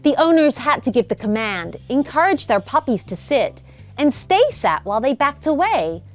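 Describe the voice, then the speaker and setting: A woman reading a children's bedtime story as an expressive narrator. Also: high voice, moderate pace. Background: music.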